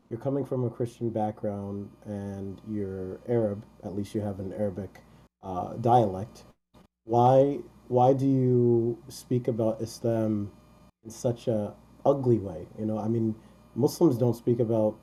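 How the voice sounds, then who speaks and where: A man with a very dark, mellow tone calls in to a live debate. He asks pointed questions and often restarts his sentences. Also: fairly low pitch, slightly rough. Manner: probing, halting questions. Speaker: caller.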